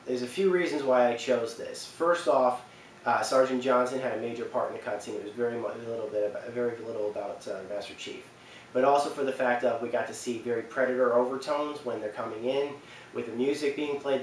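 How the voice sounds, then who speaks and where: A man giving casual, unscripted countdown commentary as a video host, halting as he searches for words. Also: medium pitch. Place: echoey room, away from the mic. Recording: very echoey, distant mic, faint noise.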